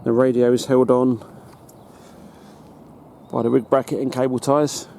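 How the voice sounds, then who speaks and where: British man, mellow voice, casual demo. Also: mid-range voice, flat delivery, a little slow, creaky. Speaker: hobbyist presenter. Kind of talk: casual hands-on demonstration. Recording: noticeable background noise.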